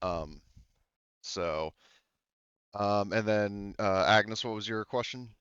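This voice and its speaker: A man acts as game master for a tabletop game, his voice low and smooth. He explains in a loose, rambling way, padded with fillers. The sound is dry and muffled, like lo-fi voice chat. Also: slow pace, fairly bright tone, no room sound.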